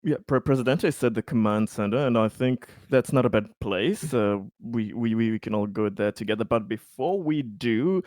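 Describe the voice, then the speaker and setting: A man's voice: medium pitch, moderate pace, hardly any pauses, a little mellow, dry recording, studio quality. A man talking casually as a player in a tabletop roleplaying game.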